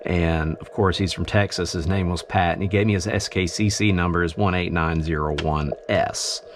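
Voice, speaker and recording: Deep voiced guy casually walking thru his radio gear, kinda halting, thinking out loud, bit of noise behind him. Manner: halting, thinking aloud as he explains. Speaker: amateur radio hobbyist demonstrating his gear. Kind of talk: casual unscripted demonstration talk. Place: indoors in a home radio shack. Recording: clear steady background noise.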